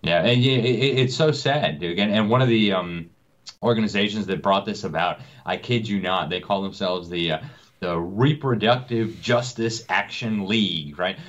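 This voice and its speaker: A man's voice: on the low side, moderate pace, lo-fi sound. A man interviewed as a guest on a talk show, speaking indignantly with many fillers. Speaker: guest commentator.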